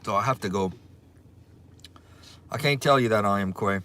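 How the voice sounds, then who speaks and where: Man, strong Cajun accent, fairly low voice, casual livestream host, relaxed chat, greets viewers. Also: moderate pace. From Louisiana. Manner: relaxed, chatty, reads and greets viewers' comments.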